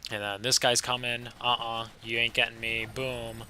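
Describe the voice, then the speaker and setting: A young man with a slightly raspy, sick voice, casually commenting over his own gameplay footage with a chatty, laid-back manner. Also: medium pitch, little pitch movement, moderate pace, pushed and bright, a little hiss or hum. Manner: casual, laid-back, chatty with frequent fillers and short laughs. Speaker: gaming channel host.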